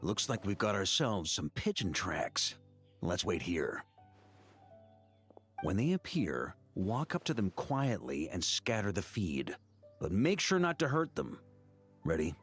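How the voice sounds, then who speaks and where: An actor playing a boisterous, friendly knight commander in a video game, hearty and playful, with music underneath. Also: male voice, sits low, very animated, unhurried, fairly bright tone, full character voice. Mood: focused, upbeat.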